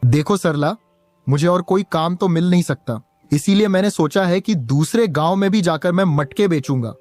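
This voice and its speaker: Man acting a high-pitched, humble village pot seller. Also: normal speed, darkish tone, dry recording, faint music behind, lightly acted. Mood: resigned, earnest. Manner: earnest, plaintive. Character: poor, patient, hard-working village pot seller and father. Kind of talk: Hindi cartoon story dialogue.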